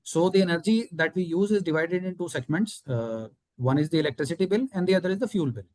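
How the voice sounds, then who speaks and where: Male company executive, Indian accent, quick pace and dry close sound, methodically explaining business figures to investors. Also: a little high, a little mellow, no room sound. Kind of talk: explanatory answers on an earnings call.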